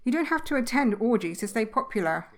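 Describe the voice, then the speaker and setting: A woman's voice: a little high, lively intonation, fast, lightly acted. A woman acting a chatty, blunt, down-to-earth wife in a comedy audio drama, plain-spoken and chiding. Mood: exasperated.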